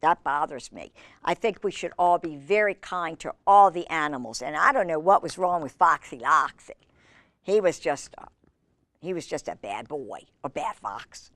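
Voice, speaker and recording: Expressive woman reading to children. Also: low-pitched, moderate pace, no room sound. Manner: gushing, expressive, exclaiming over pictures. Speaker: children's storytime host. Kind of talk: storybook read-aloud with chatty asides to children.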